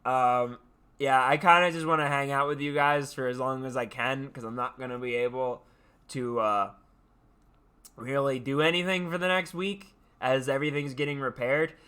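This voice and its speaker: A man hosting a livestream, sounding tired yet animated, chatting casually and haltingly as he repeats his phrases. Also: mid-range voice, smooth. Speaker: livestream host. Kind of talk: casual rambling chat to viewers.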